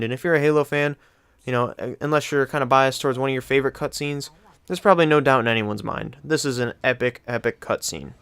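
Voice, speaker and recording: Guy doing countdown commentary, casual and hyped, clean clear voice, no room echo. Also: mid-range voice, fairly bright tone. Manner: enthusiastic, casual, repetitive emphasis. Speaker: video host.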